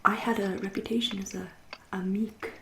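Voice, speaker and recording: A woman voicing a centuries-old aristocratic English vampire in a soft, breathy, often whispery ASMR roleplay, genteel and deliberate, with dry, polite menace. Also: medium pitch, little pitch movement, echoey room, noisy background, natural voice. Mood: proud, reminiscing. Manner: genteel, deliberate, drawn-out phrasing with dry irony.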